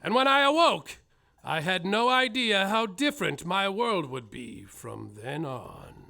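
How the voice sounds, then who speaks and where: A man playing a hammy, high-voiced detective. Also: big pitch swings, measured pace, gravelly, sharp tone, heavily acted. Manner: theatrical, over-the-top, comic narration. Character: bumbling hammy male small-town detective, narrator. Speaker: actor and narrator in a live comedy musical. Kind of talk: live comedy musical podcast. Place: live theater stage.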